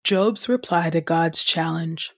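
A woman host reading scripture aloud from a script in a measured, solemn, clearly enunciated way. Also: low-pitched, fairly bright tone. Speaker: podcast host and scripture reader. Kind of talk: scripted audio Bible reading.

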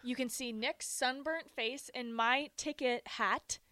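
A woman hosting a sports radio show in a studio, teasing her co-host in quick, playful banter. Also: high-pitched, bright, forceful tone, dry recording. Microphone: studio microphone.

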